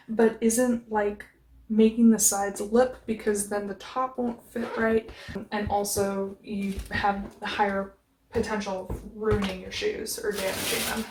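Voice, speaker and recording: A woman's voice: a little mellow, a little hiss or hum. Chatty, upbeat young woman in an echoey room. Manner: chatty, upbeat, says she has a booming voice. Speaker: organization vlogger. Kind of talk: casual how-to vlog. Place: small bedroom with shoe bins. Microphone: camera mic, close then from across the room.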